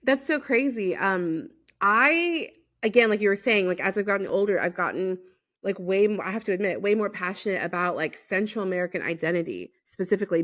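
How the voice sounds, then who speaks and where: A woman's voice: fairly low pitch, fairly bright tone. A woman from Los Angeles hosting a podcast, chatting casually and eagerly affirming her guest. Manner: enthusiastic, lots of affirming backchannels. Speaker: podcast host. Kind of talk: casual conversational interview.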